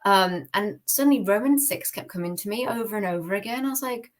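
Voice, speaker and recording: British woman telling her story on a podcast, talks really fast, very casual, lots of likes. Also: medium pitch. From United Kingdom. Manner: informal, frequent "like", acts out her own thoughts. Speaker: podcast guest. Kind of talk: personal testimony in casual conversation.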